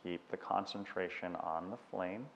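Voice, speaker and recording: A man guiding a meditation with unhurried, measured instructions and long pauses, in a dull recording with long reverb. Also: low-pitched, steady tone, vocal fry, fairly bright tone, a little hiss or hum. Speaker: meditation guide. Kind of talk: guided meditation.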